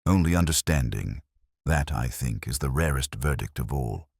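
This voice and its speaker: A man acting out a philosophical gentleman detective in an audiobook mystery. The voice is very low and husky, with expressive rises and falls. He reasons quietly and deliberately, in grave aphorisms. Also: no echo, clean studio sound, full character voice. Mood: reflective. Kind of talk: audiobook character dialogue.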